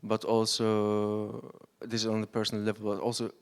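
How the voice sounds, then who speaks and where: A man's voice: fairly low pitch, monotone, moderate pace, clear, resonant tone. A man from Syria, a filmmaker on a panel, answering unscripted and searching for his words.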